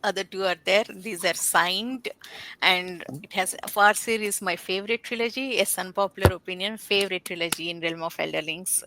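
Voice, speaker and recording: A woman's voice: mid-range voice, normal speed, pushed and bright, slight background noise, low-quality recording. A woman chatting casually and enthusiastically about books as a member of a discussion panel.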